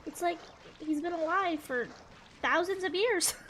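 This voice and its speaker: A woman's voice: extremely high pitch, a little slow, a bit bright, slight background noise. A woman streamer giving casual, playful commentary while she plays a game. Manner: chatty, playful. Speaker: gaming streamer. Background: game music.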